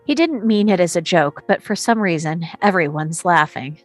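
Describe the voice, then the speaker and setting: A woman's voice: on the low side, expressive, moderate pace, no echo, soft background music. A woman narrator reading screenplay action and scene descriptions at a table read. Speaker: narrator reading stage directions.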